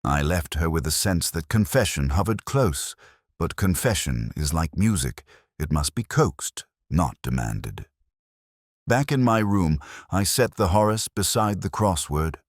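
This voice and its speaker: A man narrating a first-person detective story in a measured, solemn, literary manner. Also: low voice, sing-song, no room sound, studio quality.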